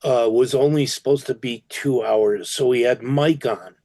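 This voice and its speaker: A man in a casual chat, telling a story in an animated way. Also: medium pitch, a little slow, no room sound.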